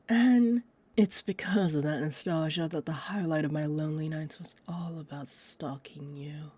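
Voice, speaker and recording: A woman playing a possessive, condescending, centuries-old female vampire in an audio roleplay, speaking to the listener in a haughty, amused manner that drifts toward breathy murmurs. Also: deep voice, normal speed, dark tone, no echo. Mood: teasing, possessive. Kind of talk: roleplay audio drama monologue, addressed to listener.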